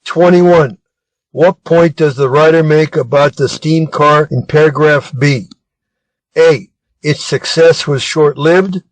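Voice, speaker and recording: A man with an American accent reads scripted exam questions aloud in a measured, deliberate manner. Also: fairly high pitch, no echo, low-quality recording. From Arizona, United States. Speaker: reader of test material.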